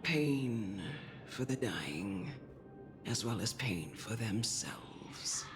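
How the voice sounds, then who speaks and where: Wise old death guardian in a game, really slow, swoopy solemn voice, music behind. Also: mid-range voice, expressive. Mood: grave storytelling. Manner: measured, solemn, storytelling. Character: grave, wise, ancient guardian of death counseling a child. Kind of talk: solemn video game voice acting.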